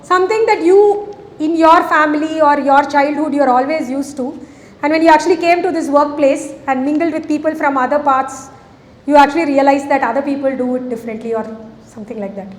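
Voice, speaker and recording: A middle-aged woman with an Indian English accent speaks in a high voice. She addresses an audience in a conversational way and leads a workplace session. Her voice carries a long reverb from the hall. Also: brisk. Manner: conversational, asks the audience questions. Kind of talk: interactive talk to an audience.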